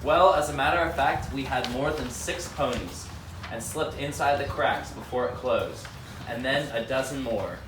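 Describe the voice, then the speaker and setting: A man's voice: medium pitch, moderate pace, natural voice. A man playing a wise old wizard who guides and warns travellers in an amateur readers' theater reading. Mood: matter-of-fact. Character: Gandalf, wise old male wizard guiding and warning travellers. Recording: noisy room, distant microphone.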